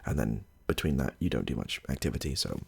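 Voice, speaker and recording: Man acting husky, gravelly, low-voiced ASMR clinician. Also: expressive, fast talker, exaggerated voice. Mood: attentive, mildly flustered. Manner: hesitant, rambling, reassuring, murmurs to himself. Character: chatty, affable male medical intake clinician with a questionnaire. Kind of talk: soft-spoken ASMR roleplay.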